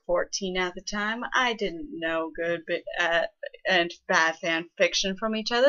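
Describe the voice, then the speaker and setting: A woman's voice: on the high side, pushed and bright. A young adult woman co-hosting with casual, sardonic commentary, recorded on a lo-fi microphone. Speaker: co-host commenting on fan fiction. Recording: dull lo-fi microphone.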